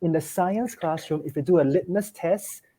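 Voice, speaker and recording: A man's voice: fairly high pitch, moderate pace, dark tone, slightly rough. A man from Singapore, a teacher, sharing ideas earnestly with fellow teachers in an online discussion and checking in with them as he goes. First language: English is first language. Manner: earnest, explanatory, checks in with listeners.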